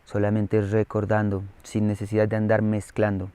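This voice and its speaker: A man from Colombia with a Colombian Spanish accent, speaking in a slow ceremonial way with rhythmic, repeating phrases. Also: lowish voice, monotone, warm tone, clean tone, no room sound. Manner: rhythmic, repetitive, incantatory phrasing. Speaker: traditional medicine speaker. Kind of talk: slow ceremonial spoken reflection.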